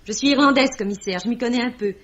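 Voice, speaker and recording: A woman's voice: on the high side, fast talker, natural voice. A woman playing a spirited, playful young Irish woman, proud and pert, in an old radio drama. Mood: feisty. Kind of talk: 1950s French radio drama acting. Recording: dull vintage radio recording.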